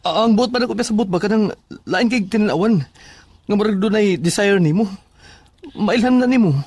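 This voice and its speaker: A woman's voice: medium pitch, fairly quick, darkish tone, husky, dry recording, natural voice. A woman playing a protective, questioning sweetheart in an audio drama. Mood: concerned.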